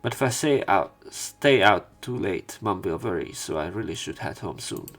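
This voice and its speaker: Low-voiced man narrating a visual novel. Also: lively intonation, normal speed, fairly bright tone. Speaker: let's play commentator. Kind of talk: reading visual novel narration aloud with casual commentary.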